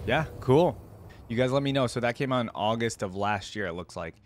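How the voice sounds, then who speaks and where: A man's voice: mid-range voice, fairly quick, slight background noise. A man hosting a reaction video, giving casual commentary. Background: game audio and music.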